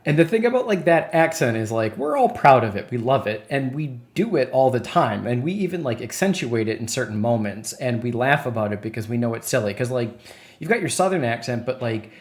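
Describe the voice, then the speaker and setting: A man's voice: mid-range voice, fairly quick. Chatty man with a Wisconsin accent. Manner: chatty, animated, swears casually. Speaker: podcast host. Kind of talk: casual chat.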